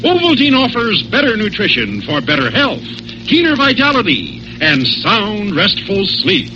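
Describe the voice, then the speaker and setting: A man's voice: high-pitched, wildly swooping pitch, unhurried. A man announcing and narrating a 1940s radio adventure serial in a dramatic scripted style. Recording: dull old radio broadcast recording.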